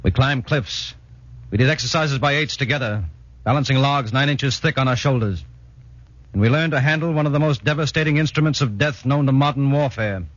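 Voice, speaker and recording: An actor playing an earnest army sergeant in a 1940s radio drama, speaking in a formal, measured way. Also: male voice, medium pitch, no room sound, lightly acted. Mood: earnest narrating. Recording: dull vintage 1940s radio recording.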